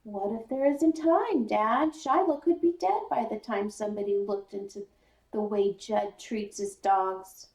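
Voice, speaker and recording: A woman's voice: mid-range voice, unhurried, gravelly, darkish tone. A woman voicing an earnest, stubborn eleven-year-old country boy who pleads in folksy phrasing in a children's story. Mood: pleading. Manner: pleading, rural colloquial phrasing. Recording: roomy echo, distant mic.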